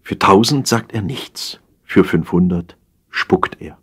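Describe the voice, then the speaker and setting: A man narrating a humorous satire as an audiobook reader, in a wry, theatrical storytelling manner with drawn-out emphasis. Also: sits low, husky.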